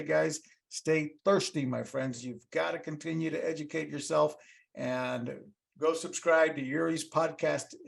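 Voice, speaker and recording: A man's voice: on the high side, gravelly. A male podcast host chatting casually with a guest and giving an upbeat, rambling sign-off with calls to like and subscribe. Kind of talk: casual interview chat and sign-off.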